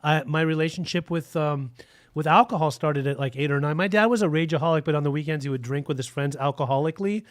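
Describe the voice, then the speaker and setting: A man who was born in Germany and raised in Utah, telling his recovery story on a video call with an earnest, halting delivery. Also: fairly high pitch. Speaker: guest sharing recovery story. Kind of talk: personal testimony.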